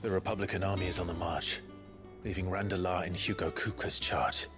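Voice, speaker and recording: An actor playing a brooding, determined rebel leader in a video game, solemn and measured. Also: male voice, sits low, steady tone, close to an everyday voice. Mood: grave resolve. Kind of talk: dramatic video game cutscene acting. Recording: cinematic music behind the voice.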